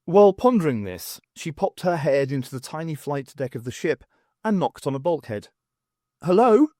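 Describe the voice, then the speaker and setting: A man's voice: on the high side, lively intonation, normal speed, warm tone, no echo, studio quality. A man narrating a science fiction audiobook in a scripted, storytelling style.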